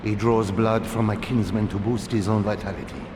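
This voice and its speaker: An actor playing a solemn, haunted villager in a video game cutscene, speaking gravely and formally. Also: male voice, lowish voice, steady tone, natural voice. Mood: grave, mournful. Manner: grave, deliberate, formal phrasing. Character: solemn, haunted male villager living in hiding. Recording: echoey hall, long reverb, loud noise.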